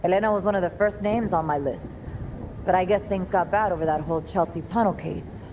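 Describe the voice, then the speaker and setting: A woman's voice: lowish voice, a little fast, noisy background, close to an everyday voice. A woman playing a sardonic, world-weary police detective in a video game cutscene, with dry, sarcastic delivery. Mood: frank, weary.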